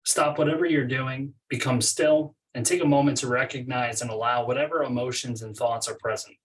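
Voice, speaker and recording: A man's voice: medium pitch, steady tone, brisk. Man guiding meditation, distant from the mic. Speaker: meditation guide, coach. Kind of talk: guided meditation, read from a script.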